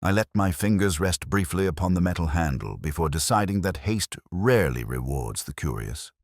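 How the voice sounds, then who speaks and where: A man voicing a brooding, literate police inspector who recounts a case in measured, reflective and formal scripted narration. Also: sits low, lively intonation, no room sound, clean studio sound. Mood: wry, watchful. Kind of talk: scripted first-person detective audio drama narration.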